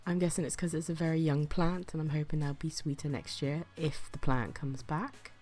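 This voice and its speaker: A woman, sick with the flu and audibly breathy, chatting casually and self-deprecatingly as she narrates a gardening vlog. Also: deep voice, fairly even intonation, moderate pace, darkish tone. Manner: chatty, self-deprecating, with occasional whispered asides. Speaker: gardening vlogger.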